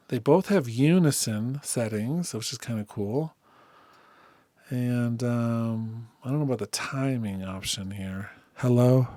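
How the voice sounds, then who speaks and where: A man giving a casual software demonstration, speaking informally and thinking aloud as he goes. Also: medium pitch, no echo. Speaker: music software tutorial host.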